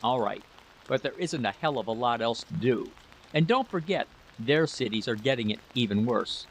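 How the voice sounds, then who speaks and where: A man's voice: mid-range voice, expressive, normal speed, slight background noise, natural voice, low-quality recording. A man voicing a proud, irritable husband in a story, complacent and brushing off worries. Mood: sullen. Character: dismissive war-technician husband, proud and irritable.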